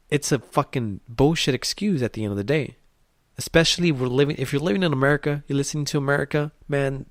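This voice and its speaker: A man's voice: medium pitch, no echo, studio quality. A man whose first language is Spanish, hosting a podcast and giving a blunt, profane motivational talk full of rhetorical questions. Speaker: podcast host. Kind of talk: motivational monologue.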